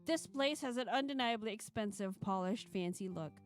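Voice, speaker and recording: A woman with a fairly high voice hosts a live game stream. She moves between playful, self-mocking chat and expressive read-aloud narration, with faint music behind her. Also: moderate pace. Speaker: streamer and host reading a visual novel aloud. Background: background music.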